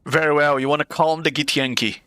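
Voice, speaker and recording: Bright-voiced, hesitant male game master. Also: a little high, fairly even intonation, fairly quick, clean tone. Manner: hesitant, many fillers. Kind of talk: narrating a tabletop game.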